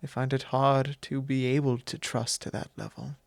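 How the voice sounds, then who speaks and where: A man playing a courteous, confiding vampire lord in a soft, intimate ASMR roleplay, speaking solemnly and tenderly in measured, formal, hesitant phrases close to the microphone. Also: mid-range voice, little pitch movement, creaky, dry recording, close to an everyday voice.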